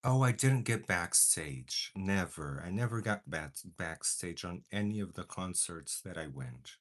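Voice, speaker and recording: Slow-talking chatty man with a low voice. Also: expressive. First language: Portuguese. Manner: chatty and dramatic, mock outrage. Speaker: beauty vlogger. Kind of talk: casual chat while doing makeup.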